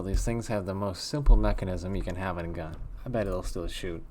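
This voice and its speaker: A man reading a text horror game aloud in a hushed, measured way with a wondering, uneasy tone. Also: low-pitched, slight background noise. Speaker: let's play host.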